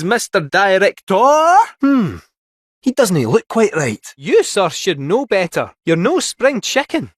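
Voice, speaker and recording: A small, wily robot cat from a video game, chattering in a Scottish accent. The delivery is theatrical and folksy, with pitch that leaps about in a lively way. It is recorded very dry, with no room sound. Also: male voice, dry recording, full character voice. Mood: breezy, teasing. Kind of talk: scripted video game character dialogue.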